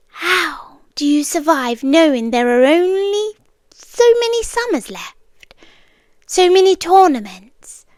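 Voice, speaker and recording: A woman with a British accent playing an ancient, obsessive cosmic being in an intimate ASMR roleplay, speaking softly and deliberately, often whispering. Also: high voice, no room sound. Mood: pleading, awed. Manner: soft, deliberate, drifting into whispers. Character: ancient female cosmic entity, obsessive yandere girlfriend, wistful and sinister.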